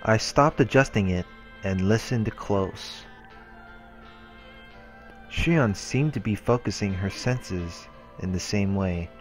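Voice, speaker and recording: A man hosting a let's play, reading a visual novel's narration aloud in a calm, measured way. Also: fairly low pitch. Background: game music and voices.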